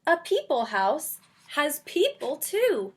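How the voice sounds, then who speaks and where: A woman's voice: sits high, wildly swooping pitch, takes it slowly, a little hiss or hum, voice pushed up, exaggerated voice. A woman voicing an eager, bossy little mouse from a storybook, exclaiming and urging. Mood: emphatic.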